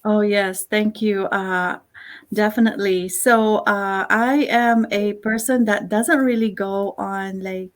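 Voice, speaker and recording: A middle-aged woman from the Philippines, a guest chatting on a video call, talking warmly with many fillers and soft laughs. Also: medium pitch, fairly even intonation, slow pace. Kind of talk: casual interview chat.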